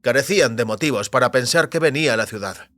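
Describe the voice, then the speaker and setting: Un actor interpreta a un extécnico fugitivo, decidido y calculador, en una audioficción, con habla segura y pausada. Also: male voice, medium pitch, expressive, a bit bright, no echo, lightly acted. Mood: confident. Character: Jennings, determined scheming former technician on the run. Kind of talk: science fiction audio drama dialogue.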